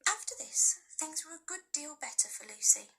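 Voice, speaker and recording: A woman teacher reading a children's novel aloud with gentle, expressive storytelling. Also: high voice, normal speed.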